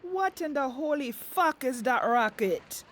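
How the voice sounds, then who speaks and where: An actress playing a brash, foul-mouthed, commanding pirate leader in an audio drama. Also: female voice, sits high, animated, a little slow. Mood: disgusted. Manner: taunting, commanding. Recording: clear background noise, clicks.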